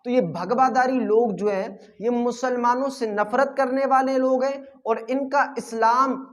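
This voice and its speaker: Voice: mid-range voice, fairly even intonation, unhurried. A commentator addressing viewers in an emphatic, repetitive and rhetorical way, as the host of an opinion video.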